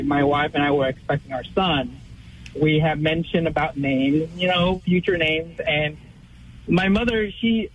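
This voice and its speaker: A man in his thirties from Vietnam, calling in to ask for advice, speaking haltingly with many fillers and restarts. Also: on the high side, muffled recording, a little hiss or hum. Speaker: caller. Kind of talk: call-in advice question.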